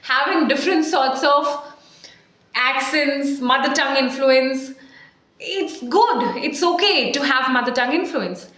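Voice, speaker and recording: Woman, Indian accent, 30s, communication coach, animated, rhetorical questions, slightly high, echoey room. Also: measured pace. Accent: Indian English. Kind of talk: to-camera tutorial. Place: small tiled room, indoors, at a desk.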